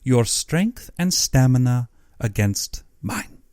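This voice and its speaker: A man's voice: medium pitch, very animated, slow pace, darkish tone, no room sound, clean studio sound. A man voicing an urbane, aristocratic general in an audiobook, a courtly and theatrical villain who savors his words with sinister glee. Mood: smooth, taunting. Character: urbane, cultured aristocratic general, gleefully sinister big-game hunter.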